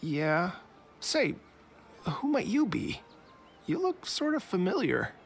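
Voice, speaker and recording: A man's voice: high voice, wildly swooping pitch, slow, vocal fry, heavily acted. A man playing an unmotivated, easygoing academic researcher in a video game, chatting casually and making excuses for himself. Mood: curious. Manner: hesitant, chatty, casually self-justifying.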